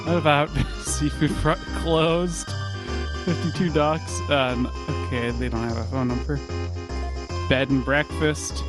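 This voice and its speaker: A man's voice: a little high, fairly even intonation, measured pace, over music, rough recording. A man hosting a casual prank-call podcast, with a sore throat, talking in an easygoing, meandering way. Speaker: podcast host.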